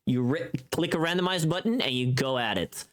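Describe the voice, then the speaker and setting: A livestream host chatting casually with viewers in an animated, informal, sweary way. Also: mid-range voice, brisk.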